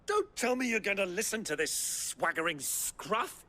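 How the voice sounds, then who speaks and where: Hot-tempered, indignant travelling companion, animated. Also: female voice, mid-range voice, normal speed. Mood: outraged. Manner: sharp, scolding outbursts. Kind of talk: cinematic video game acting.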